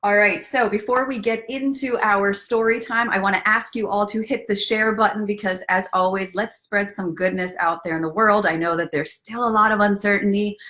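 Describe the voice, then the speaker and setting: Woman, live-stream host, mid-pitched voice, encouraging, playful, casual coaching chat. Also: steady tone, moderate pace, few pauses, a bit bright. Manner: encouraging, playful, talking directly to viewers. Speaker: self-love coach hosting a live story time. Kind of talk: casual live-stream chat with guided breathing and book reading.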